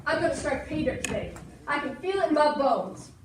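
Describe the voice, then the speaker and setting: A woman's voice: high voice, lively intonation, a little fast. A young woman playing a stubborn, hopeful ranch woman in an amateur Western stage play. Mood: determined. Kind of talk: projected amateur stage acting. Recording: echoey room from far off, noisy.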